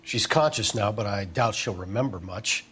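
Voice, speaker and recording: A man's voice: on the low side, moderate pace, fairly bright tone, breathy edge. An actor voicing a professional male hospital doctor who speaks matter-of-factly. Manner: matter-of-fact.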